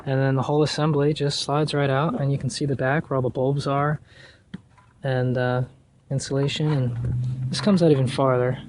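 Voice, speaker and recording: A man giving a casual, hands-on do-it-yourself tutorial in a halting, thinking-aloud way. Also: mid-range voice, little pitch movement, darkish tone. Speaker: DIY car project vlogger. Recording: noisy recording with clicks.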